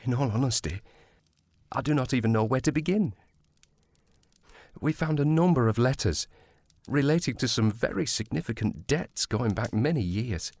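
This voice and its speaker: An actor playing an earnest, remorseful police sergeant in a period audio drama, formal and measured. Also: male voice, mid-range voice, expressive, a little mellow, no room sound. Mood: candid, troubled.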